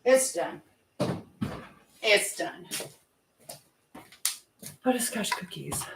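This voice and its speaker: A woman's voice: medium pitch, lively intonation, very slow, vocal fry, very noisy. An American woman chatting casually with livestream viewers from her home kitchen, reading out and answering their comments as she cooks. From United States. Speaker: livestream host. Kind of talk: casual live chat with viewers while cooking.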